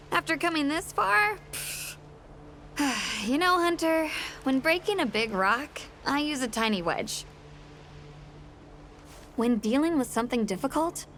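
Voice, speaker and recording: A woman's voice: high voice, fairly bright tone, a little hiss or hum. An actress voicing a hearty woman smith in a game cutscene, folksy and encouraging. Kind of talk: video game cutscene acting. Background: game score music.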